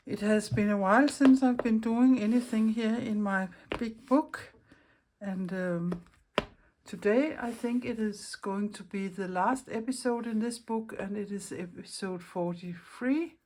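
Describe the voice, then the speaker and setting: A woman from Denmark with a Danish accent, hosting a casual craft video, talking in a halting, friendly way while she searches for English words. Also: mid-range voice, darkish tone, a little hiss or hum. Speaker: craft channel host. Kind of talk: casual craft vlog chat.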